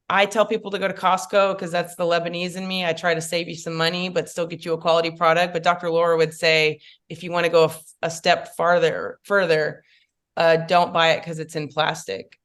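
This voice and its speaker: Chatty woman, lowish voice. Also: fairly bright tone, smooth. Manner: chatty, lots of fillers and asides. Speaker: makeup artist guest. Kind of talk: casual podcast interview.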